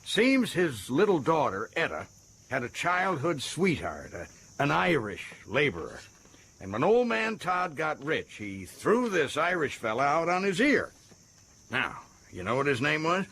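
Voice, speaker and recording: An actor playing a folksy, self-important American prison governor in a radio drama, chatty and stammering with excitement. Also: male voice, a little high, unhurried, slight background noise, heavily acted. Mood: chatty, boastful.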